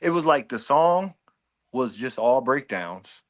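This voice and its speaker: A man's voice: medium pitch, big pitch swings, slow. A man co-hosting a casual podcast, giving blunt, profane album reviews and mimicking voices. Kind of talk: casual music review chat.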